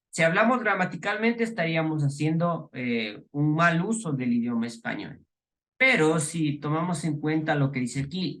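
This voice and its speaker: A middle-aged man with an Ecuadorian highland accent lectures a class. His voice sits somewhat high for a man. He explains patiently, restarting phrases, filling pauses and posing rhetorical questions to his students. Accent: Ecuadorian highland Spanish. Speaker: teacher.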